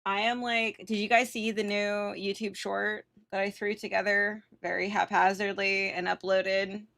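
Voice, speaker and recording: A woman's voice: mid-range voice, flat delivery, a little slow, creaky, a bit bright. A woman livestreamer chatting casually with viewers in a slangy, sweary, self-teasing and exclaiming way. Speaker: live streamer.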